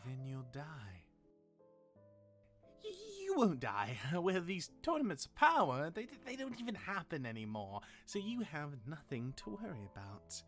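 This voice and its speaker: An actor playing a patient, chatty demigod elder brother and mentor in a fantasy audio drama, instructive and conversational, with music behind him. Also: male voice, a little high, huge pitch swings, unhurried, a bit bright, exaggerated voice. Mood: teasing, reassuring. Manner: conversational, instructive, hesitant asides.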